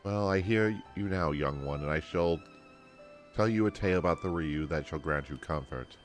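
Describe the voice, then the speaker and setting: A man's voice: sits low, moderate pace, soft background music, close to an everyday voice. A man voicing an earnest female storyteller from a fantasy game, reading her dialogue in formal, archaic, kindly phrasing. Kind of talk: game dialogue read aloud.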